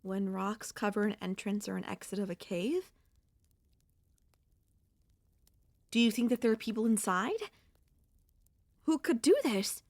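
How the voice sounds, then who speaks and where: Woman acting as young female centipede monster girl, gentle, anxious, wistful, soft hesitant delivery, mid pitch, ASMR audio roleplay, dry close-mic sound. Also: normal speed, dry recording, lightly acted. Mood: alarmed, suspicious.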